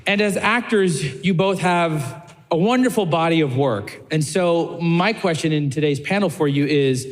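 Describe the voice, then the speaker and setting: A man's voice: fairly high pitch, moderate pace, a little hiss or hum. Earnest man questioning in an echoey hall. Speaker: panel questioner. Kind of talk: earnest, appreciative question to panel guests. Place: large, packed indoor panel room. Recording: echoey hall, faint crowd behind.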